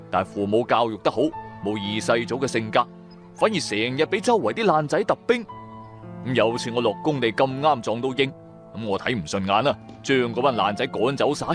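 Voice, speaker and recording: A man with a Cantonese accent narrating a supernatural radio drama in the first person, dramatic and colloquial. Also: mid-range voice.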